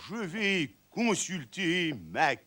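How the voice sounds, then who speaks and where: A man's voice: sits high, expressive, very slow, background noise, full character voice. A man playing a pompous, greedy, grotesque tyrant in a theatrical play reading, ranting in mock Latin and trading curses.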